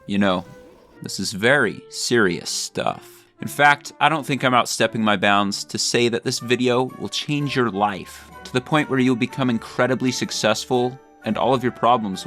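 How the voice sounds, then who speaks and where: A man's voice: on the low side, normal speed, fairly bright tone. Mock-serious man narrating over faint music. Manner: mock-serious, exaggerated, theatrical emphasis. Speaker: video creator and narrator. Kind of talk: scripted satirical video commentary.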